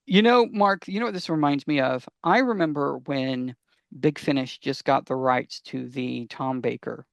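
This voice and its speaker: A man's voice: mid-range voice, normal speed, creaky, no echo. A man chatting casually as a podcast guest, making emphatic points in short, restated phrases. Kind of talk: casual chat.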